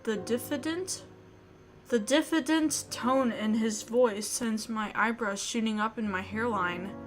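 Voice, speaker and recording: A woman reading a story aloud in an expressive, theatrical way. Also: fairly high pitch, a little slow. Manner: theatrical, emotive. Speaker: video game player reading a visual novel aloud. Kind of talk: expressive dramatic read-aloud narration. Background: background music.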